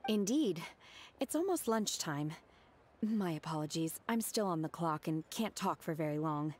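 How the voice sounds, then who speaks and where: Reserved young woman, played by a woman, formal, matter-of-fact, lively pitch, game music faint. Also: moderate pace, a little hiss or hum, close to an everyday voice. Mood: composed. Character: calm, reserved young woman, blunt disciple. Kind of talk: video game voice acting.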